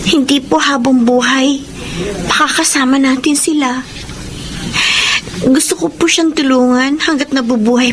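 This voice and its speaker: Selfless young granddaughter, high voice, earnest, respectful, radio drama, noisy background. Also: female voice. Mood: earnest, resolute. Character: dutiful, selfless young working granddaughter and daughter. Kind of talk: emotional radio drama acting.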